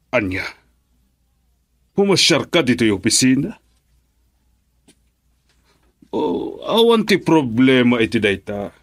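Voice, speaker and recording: An actor playing a genial, encouraging older businessman father in an Ilocano radio drama, with a Filipino accent. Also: medium pitch.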